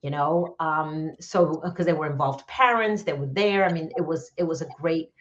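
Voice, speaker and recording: New york lady podcast guest, deep voice, candid chatty, muffled lo-fi sound. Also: normal speed, fairly bright tone. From New York area, United States. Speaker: podcast guest, business coach. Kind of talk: casual personal storytelling. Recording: dull, muffled lo-fi audio.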